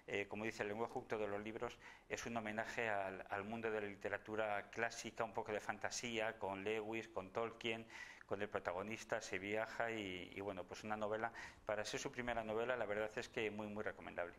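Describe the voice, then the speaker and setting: A middle-aged man with a fairly low voice recommends books in casual chat, his thoughts unfolding slowly. He often restarts phrases and repeats words before going on. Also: fairly bright tone. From Spain. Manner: halting, repeats words while thinking. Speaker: bookseller guest.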